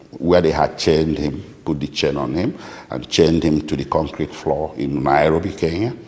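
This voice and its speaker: A man with a Nigerian accent answering interview questions as a lawyer, speaking deliberately and haltingly with precise detail. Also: rumbling low, a little mellow. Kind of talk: interview answers recounting a client's account. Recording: echoey room with long reverb.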